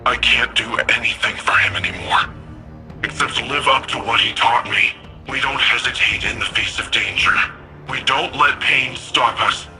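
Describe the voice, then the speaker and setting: Casting: impetuous young game hero, very bright, punchy voice, mid pitch, music behind. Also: male voice, moderate pace. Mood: defiant resolve. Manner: forceful, impetuous. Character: brash, headstrong young hero, fiercely determined.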